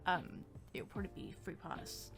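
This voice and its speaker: A woman's voice: normal speed, over music. A woman giving casual, rambling gameplay commentary as a streamer, in an informal way. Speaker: gaming streamer.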